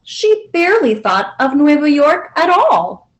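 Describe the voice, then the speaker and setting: High-pitched, animated woman reading a story. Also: a little slow, rough recording. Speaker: library storyteller. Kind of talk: children's picture book read-aloud.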